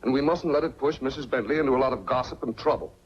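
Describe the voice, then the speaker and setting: An actor playing a fair-minded, cautious small-town police chief in a 1940s film, folksy and deliberate. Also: male voice, mid-range voice, lightly acted. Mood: firm. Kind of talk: 1940s film noir acting. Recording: dull, faintly noisy 1940s film soundtrack.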